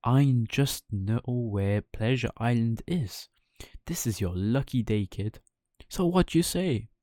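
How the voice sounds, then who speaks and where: A man's voice: medium pitch, expressive, slow pace, a little mellow, no room sound, studio quality. A man playing a sly coachman who coaxes children in a persuasive, slick way, as a storybook villain. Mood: coaxing. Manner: coaxing, persuasive.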